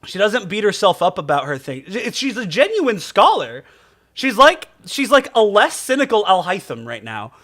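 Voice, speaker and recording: A man doing comedic live commentary over a game, talking in an excitable, effusive, exclamatory way. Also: sits high, normal speed. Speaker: game streamer.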